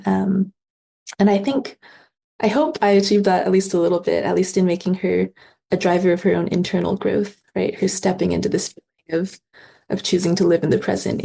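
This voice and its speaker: A woman's voice: lowish voice, gravelly, warm tone, husky. A woman speaking as an author guest in a casual interview, reflective and thoughtful, often adding small conversational qualifiers.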